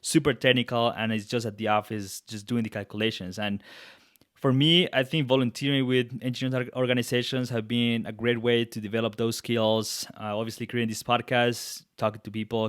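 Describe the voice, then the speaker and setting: A man's voice: mid-range voice, flat delivery, a little fast, a bit bright, no echo. Colombian-accented man hosting, dry close mic. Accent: Colombian Spanish. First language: Spanish. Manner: earnest, reflective, with frequent restarts. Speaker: podcast host. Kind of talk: interview conversation.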